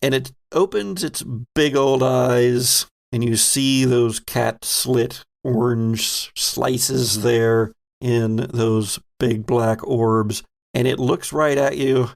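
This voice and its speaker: A man's voice: medium pitch, fairly even intonation, slow, vocal fry. A man narrating a tabletop horror game as game master, describing scenes vividly and addressing the players directly.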